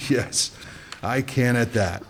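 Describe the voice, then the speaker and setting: Brief: jaded smuggler, dry and wry, mid-range voice. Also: male voice, medium pitch, slow, breathy edge. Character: cynical, world-weary male weapons smuggler. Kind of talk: radio play acting.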